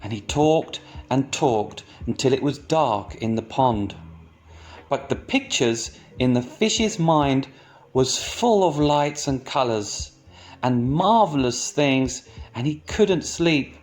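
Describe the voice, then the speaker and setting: A man reading a children's picture book aloud as storytime reader, deliberate and pausing between short phrases. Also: medium pitch, expressive.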